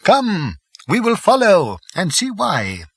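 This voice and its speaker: A man's voice: high-pitched, huge pitch swings, slow pace, breathy edge, no echo, studio quality, pitched above the performer's own voice, heavily acted. A man voicing an elderly, grave alien scholar in an audiobook, explaining things solemnly with mild apology and some hesitation. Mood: grave, regretful. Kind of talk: audiobook character voice.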